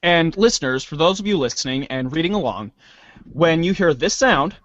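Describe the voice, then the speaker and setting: A man's voice: fairly high pitch, lively intonation, moderate pace, fairly bright tone, no room sound. A man casually hosting a reader's theater show, on a dull, dry recording.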